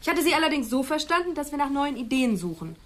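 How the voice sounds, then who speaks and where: A woman's voice: high voice, big pitch swings, fast talker. An actress playing an earnest, prickly policewoman in a radio drama, formal, careful and defensive. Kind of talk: German radio drama acting.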